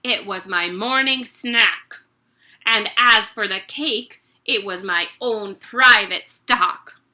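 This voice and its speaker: A woman voicing a huge, bullying headmistress who barks and shouts insults at a pupil. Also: on the high side, normal speed. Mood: raging.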